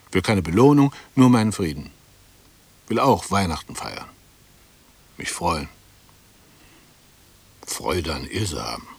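An embittered, dutiful male bank employee in a radio drama monologue. His voice is fairly low and husky. He broods and justifies himself in short, clipped fragments, longing for peace. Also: dry recording. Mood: weary. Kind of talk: interior monologue in a 1960s German radio play.

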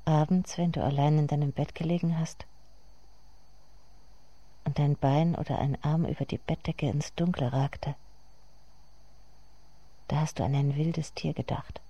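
An actress playing a somber narrator in a literary radio drama, speaking in measured, deliberate phrases. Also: female voice, low voice, steady tone, dark tone, breathy edge, highs cut off, full character voice.

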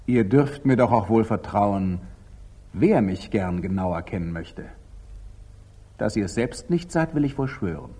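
An actor playing a proud, curt, sardonic knight templar in a theatrical 1940s German radio drama. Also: male voice, fairly low pitch, expressive, unhurried, mellow, husky, exaggerated voice. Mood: wry. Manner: clipped, theatrical, wry.

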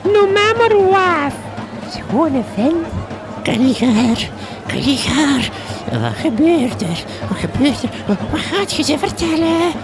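A woman with a Flemish accent playing a prurient, excitable, silly village widow in a gothic comedy radio drama, full of drawn-out exclamations. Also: high-pitched, crowd noise, exaggerated voice, low-quality recording. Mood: nosy, titillated. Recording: loud music under the voice.

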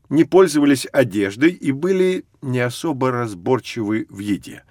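A man playing a patient, learned guide who teaches children in scripted educational audio narration, didactic and measured. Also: mid-range voice, no room sound, studio quality, natural voice. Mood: explaining, patient.

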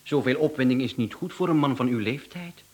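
An actor playing an unflappable, courteous contract killer and swindler in a radio play, speaking with a Flemish accent and businesslike politeness. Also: male voice, mid-range voice, a little fast, lightly acted. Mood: mocking, calm.

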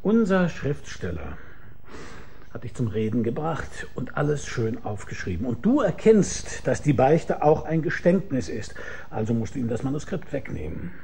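Dry, sardonic private detective. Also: male voice, medium pitch, very animated, gravelly, dark tone, a little hiss or hum. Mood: smug explaining. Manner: dry, mocking, deliberate storytelling. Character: sardonic, shrewd private detective who tells the story himself. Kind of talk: German radio crime drama acting with first-person narration.

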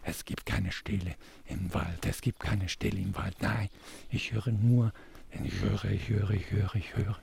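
A man's voice: lowish voice, fairly even intonation, unhurried, vocal fry, darkish tone. A man improvising spoken word with repeated phrases, recorded outdoors with faint ambient noise. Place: outdoors in a forest.

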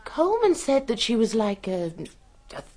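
A woman's voice: a little high, very animated, normal speed, mellow. A woman playing a plain-spoken, proper nurse in a radio drama, with an English accent and a confiding, frank manner. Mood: gossipy, frank. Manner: confiding, hesitant, then frank.

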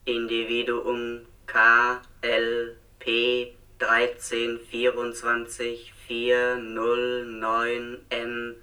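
Casting: a mechanical robot announcer for radio drama, piercingly bright and forceful, completely monotone, reading files without emotion. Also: male voice, medium pitch, extremely slow, few pauses, far from the mic, full character voice, rough recording. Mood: impassive, mechanical. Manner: mechanical, clipped, monotone recitation. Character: emotionless robot speaker reciting data files from a supercomputer. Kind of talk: German radio play acting.

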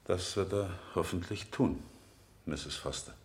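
An actor playing an insistent defense lawyer in a radio play, questioning witnesses in a deliberate, rhetorical way. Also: male voice, low voice. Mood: probing, measured. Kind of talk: 1970 German radio play acting.

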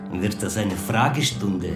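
Slow-talking, menacing biker boss. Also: male voice, fairly low pitch. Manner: slow, condescending, threatening. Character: menacing biker club boss, mocking and condescending. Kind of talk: crime radio drama acting.

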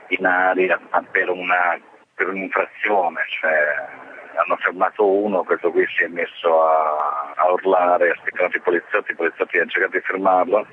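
A man gives a spontaneous eyewitness account in an interview, with halting, emphatic phrasing. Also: low voice. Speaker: journalist interviewed about his trip. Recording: noisy, muffled phone-line radio interview.

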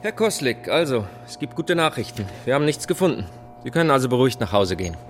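A man's voice: medium pitch, big pitch swings, quick pace, faint music behind, lightly acted. An actor playing a matter-of-fact, reassuring yet noncommittal male hospital doctor in a naturalistic radio drama. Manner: explanatory, businesslike.

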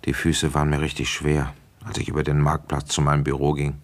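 Low male voice, suspicious detective, weary, probing, insistent, radio drama. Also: completely flat delivery, fairly quick. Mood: weary, narrating. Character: weary, suspicious, feverish male police inspector. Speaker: detective and first-person narrator. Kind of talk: German radio play acting.

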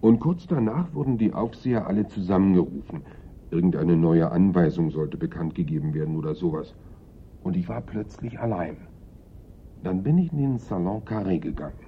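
A simple, nonchalant workman in a radio drama, answering evasively and colloquially. His voice is low and husky with lively swings in pitch. The sound is thin and band-limited, like an old broadcast. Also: moderate pace, slight background noise. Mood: matter-of-fact. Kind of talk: radio play acting. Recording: muffled, narrow-band old radio recording.

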